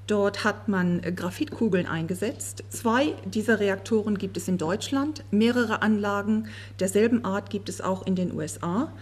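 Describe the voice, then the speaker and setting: Woman, interpreter, husky voice, steady fluent delivery, table mic, conference room. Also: medium pitch, moderate pace, hardly any pauses. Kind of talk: consecutive interpreting. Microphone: table microphone.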